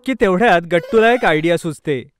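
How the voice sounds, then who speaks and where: A man's voice: high-pitched, brisk, soft background music. A man narrating a scripted children's story.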